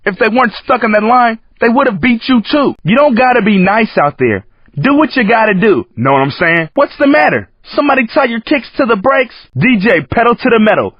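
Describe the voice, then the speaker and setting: An actor playing a cocky, hip street-racing coach in a video game, with an American accent and a slangy, teasing, streetwise way of talking. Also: male voice, very high-pitched, big pitch swings, brisk, slightly rough, dry recording, exaggerated voice. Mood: teasing, scolding. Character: cocky, hip male San Francisco street-racing coach, joking and hyping. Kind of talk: video game character commentary. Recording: muffled lo-fi game audio, dry.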